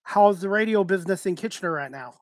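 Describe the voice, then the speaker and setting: A man's voice: high voice, lively intonation, fast talker, no echo, clean studio sound. A middle-aged man, hosting a talk show over a headset on a video call. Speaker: sports talk show host.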